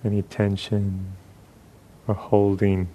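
A man guides a meditation at a very slow pace, leaving long pauses between short phrases. His voice is soft and mellow, sitting on the low side. He sounds like a gentle teacher giving unhurried instruction. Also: monotone, muffled recording, a little hiss or hum.

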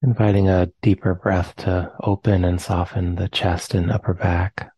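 A man with an American accent, leading a guided meditation in slow, soft phrases with long pauses. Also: sits low, flat delivery, slightly rough, no room sound. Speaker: meditation teacher.

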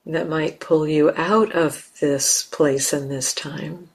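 A woman teaching guided meditation, speaking gently and unhurriedly in short phrases with long pauses. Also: low voice, steady tone, gravelly, slightly rough. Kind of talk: guided meditation, unscripted instruction.

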